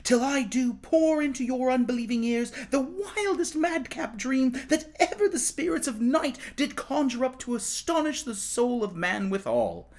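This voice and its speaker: A storyteller voicing an excitable poor young boy in a storybook reading, with a raised, eager voice. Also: normal speed, few pauses. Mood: joyful. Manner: bright, eager, high-pitched.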